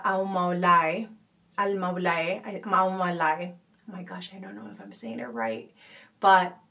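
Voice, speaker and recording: A woman hosting a podcast, speaking in a thoughtful, casual way with frequent pauses, fillers and restarts. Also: lowish voice, recorded from a distance. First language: not Arabic. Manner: thoughtful, many fillers and restarts, gentle laughs. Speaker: podcast host. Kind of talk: casual reflective talk.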